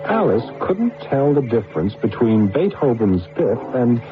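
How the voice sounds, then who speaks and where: Casting: an earnest, flustered scientist, mid-pitched and animated, hesitant delivery, tinny vintage radio sound. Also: male voice, measured pace, over music. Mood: reflective. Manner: hesitant, stammering when challenged. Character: flustered, earnest male computer scientist and inventor. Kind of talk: radio drama acting and first-person narration. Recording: tinny, phone-like old radio recording.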